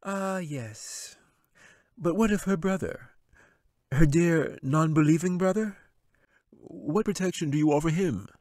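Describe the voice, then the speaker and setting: A man's voice: measured pace, pauses often, a little mellow, no room sound, studio quality, close to an everyday voice. A man voicing a scheming male wizard villain in a storybook reading, sly and mocking. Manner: taunting, sly, rising high.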